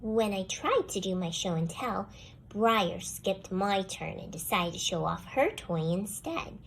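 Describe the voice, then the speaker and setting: A woman voicing a young schoolgirl telling a first-person storybook tale with expressive, put-upon delivery. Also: medium pitch, unhurried, creaky, a little hiss or hum, low-quality recording. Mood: indignant. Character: jealous young schoolgirl, grudgingly softening toward the dog. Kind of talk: scripted children's storybook read-aloud.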